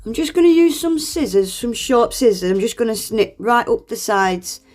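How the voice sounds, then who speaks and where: A woman's voice: a little high, animated, moderate pace, a little mellow, soft background music. A woman, congested with a cold, chatting through a nail art tutorial voice-over in a friendly, self-deprecating way and laughing often.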